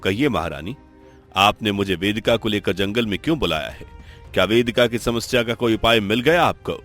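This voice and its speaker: A man's voice: fairly low pitch, expressive, fairly quick, fairly bright tone, faint music behind. An actor playing a caring, dutiful king in a cartoon moral story, formal and respectful. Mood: curious, hopeful.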